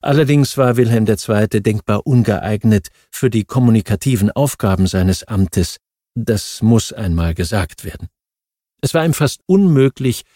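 Mellow male audiobook narrator. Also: mid-range voice, no room sound, studio quality. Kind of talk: scripted narration of non-fiction history.

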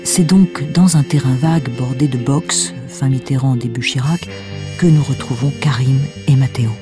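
Very deep-voiced, wry female narrator. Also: normal speed, music in the background. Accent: French. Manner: deadpan, wry. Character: wry, tongue-in-cheek female storyteller of a noir parody. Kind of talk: scripted narration.

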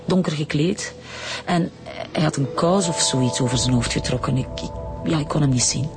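An actress playing a shaken, evasive wife who recounts her abduction haltingly in a Flemish accent, in a radio drama. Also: low-pitched. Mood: shaken, hesitant. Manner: hesitant, halting, repeating words. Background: music.

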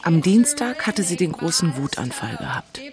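A woman's voice: fairly low pitch, very animated, moderate pace, over music. An actress playing an exasperated, lovesick single city woman in a radio play, narrating with blunt, self-mocking asides. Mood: wry, bitter.

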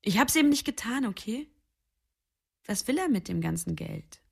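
An actress playing a blunt, teasing, world-weary young woman in a radio drama, with a husky, creaky voice and a frank way of talking. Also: fairly high pitch, big pitch swings, normal speed, darkish tone, full character voice. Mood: bitter, weary.

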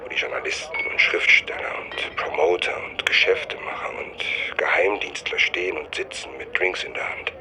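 A man's voice: deep voice, normal speed, few pauses, a crowd in the background, natural voice. An actor playing an obsessed, self-deluding male writer in a literary radio drama, with music behind him. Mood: grave, brooding. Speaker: lead actor and first-person narrator.

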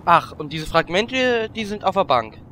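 A man's voice: high voice, sing-song, unhurried, sharp tone, slight background noise, full character voice. A man voicing a vain, boastful gnome warlock with a pompous, theatrical delivery in a German audio drama parody. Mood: puzzled. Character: vain, self-important male gnome warlock, boastful womanizer.

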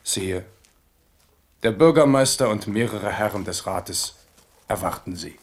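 A man's voice: on the low side, animated, a little slow, a little hiss or hum. A man playing a proud, wary and outspoken young king and army leader in a German radio play. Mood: urgent, deferential.

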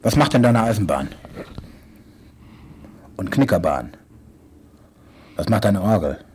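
A man's voice: fairly low pitch, very animated, slow, heavy background noise. A man talking playfully to a small child, with repeated coaxing questions and nonsense words.

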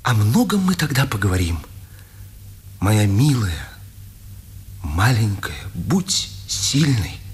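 An actor playing a gentle, loving imprisoned writer, earnest and intimate, in a 1950s Soviet radio drama. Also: male voice, lowish voice, big pitch swings, slow, pauses often. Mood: tender longing. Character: gentle, loving male prisoner writer missing his wife.

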